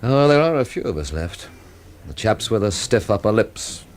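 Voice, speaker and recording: A man's voice: on the low side, sing-song, moderate pace. An actor playing a wry, brooding, hard-drinking man who narrates and talks with dry, literate sarcasm in a radio drama. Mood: tipsy, sardonic. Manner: dry, sardonic, literate phrasing. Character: world-weary, wry hard-drinking male storyteller, brooding and sardonic.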